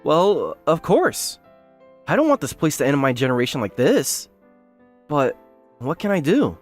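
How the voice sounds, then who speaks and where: Male actor as young hotel owner, manga dub lead and narrator: polite, sincere, lightly high-pitched, very animated. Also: moderate pace. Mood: downcast. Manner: polite, earnest. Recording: background music under the voice.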